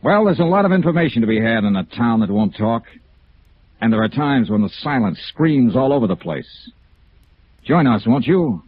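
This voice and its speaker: An actor with an American accent playing a tough, brisk insurance investigator in 1950s radio noir, clipped and emphatic. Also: male voice, medium pitch, sing-song, breathy edge. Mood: emphatic, inviting. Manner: clipped, hard-boiled, emphatic. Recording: narrow-band vintage radio recording.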